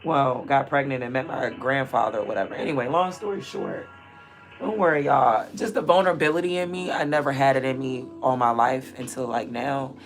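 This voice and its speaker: A woman in her thirties with a naturally raspy voice, chatting candidly and rambling on a casual livestream. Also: a bass voice, steady tone, fairly quick. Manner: candid, rambling, drifts between loud and murmured asides.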